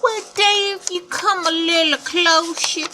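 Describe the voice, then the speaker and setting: A woman voicing a sly male wolf disguised as a grandmother, in a high squeaky put-on granny voice. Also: steady tone, unhurried, noisy background. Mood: sly coaxing.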